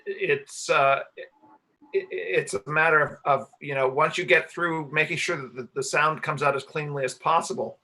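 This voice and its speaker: A man with a bright, forward voice pitched a little high talks casually in a panel Q&A. He explains things in a halting way, feeling his way through each point. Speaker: radio play director and sound engineer.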